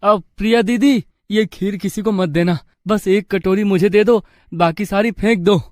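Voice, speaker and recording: An earnest young brother-in-law, acted by a man, worried yet determined. His voice sits very high and pleads, in a dry, close storybook recording. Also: normal speed, no room sound, lightly acted. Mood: urgent, coaxing.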